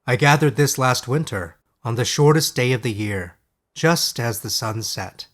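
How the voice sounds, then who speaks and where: A man voicing a scholarly male wizard in a fairy-tale audiobook, formal, sincere and quietly resolute. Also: medium pitch, expressive, unhurried. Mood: reverent.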